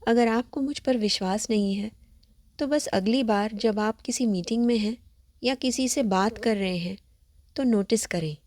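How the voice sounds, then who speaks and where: A woman leading a scripted guided meditation, giving gentle, measured instructions. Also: a little high, no room sound, clean studio sound. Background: background music.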